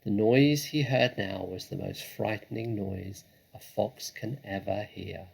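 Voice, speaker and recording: A man narrating a children's story dramatically, with expressive, suspenseful phrasing. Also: fairly low pitch, a little slow, gravelly, husky, poor audio. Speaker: storybook reader.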